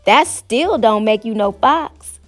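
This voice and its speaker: A woman's voice: on the high side, animated, slow. A woman voicing a sassy, unimpressed little girl with a rural Southern US accent in a storybook, matter-of-fact, over music. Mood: defiant. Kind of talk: storybook character voice.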